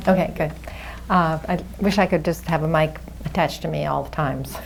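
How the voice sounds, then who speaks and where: A woman moderator with a soft voice giving a formal, measured introduction in literary phrasing. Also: low voice, fairly even intonation, gravelly, background noise.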